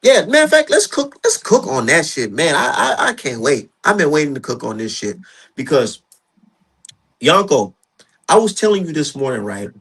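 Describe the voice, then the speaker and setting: Man, 30s, casual podcast host, husky voice, heated and repetitive. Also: a little high, moderate pace. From Minnesota. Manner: animated, repetitive, heated ranting. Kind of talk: casual boxing talk.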